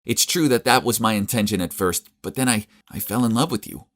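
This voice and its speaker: Guy voicing a nasty scheming young woman, pitched way up, mean tone. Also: fairly quick, fairly bright tone, lightly acted. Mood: pleading. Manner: shrill, mean-toned. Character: scheming young woman, spiteful then pleading.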